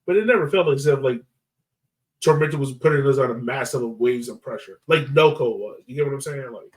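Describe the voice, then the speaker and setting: A man's voice: medium pitch, fairly quick, recorded from a distance, rough recording. Man chatting sports, slightly off the mic. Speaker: soccer podcast co-host. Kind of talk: casual sports chat.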